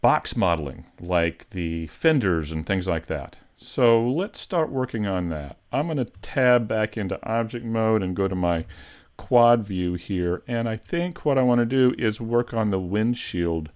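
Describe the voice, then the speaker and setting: Slow-paced, lower-voiced man teaching casually. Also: lively intonation, a little mellow. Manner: unhurried, hesitant, thinking aloud. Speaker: 3D modeling instructor, live stream host. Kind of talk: casual live tutorial narration.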